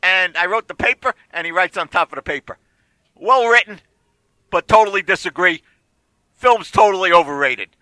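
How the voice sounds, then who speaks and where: Older guy in his 60s doing sports banter, high shrill voice, real sharp, keeps repeating stuff for emphasis. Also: normal speed, no room sound, poor audio. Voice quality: shrill, distinctive voice. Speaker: sports talk show panelist.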